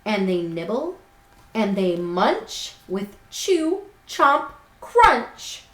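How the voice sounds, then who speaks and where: A woman's voice: mid-range voice, extremely slow. A woman reading a picture book aloud to children, expressively acting out its words. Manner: expressive, acts out words and characters. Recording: roomy, echoing indoor sound.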